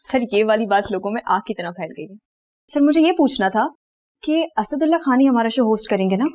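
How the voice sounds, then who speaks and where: Actress as a high-voiced, deferential secretary. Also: female voice, lively intonation, brisk, darkish tone, close to an everyday voice. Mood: eager, dutiful. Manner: deferential, addresses superior as sir constantly. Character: eager, anxious, deferential female secretary. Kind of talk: TV comedy drama acting.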